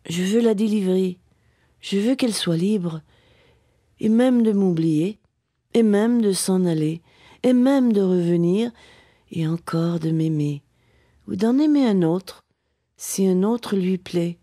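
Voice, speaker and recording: Woman reading poems real slow and husky, dramatic n heartfelt, goes breathy whispery a lot, dry close sound. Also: mid-range voice, no echo. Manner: expressive and impassioned, often dropping to a breathy whisper. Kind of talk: dramatic poetry recitation.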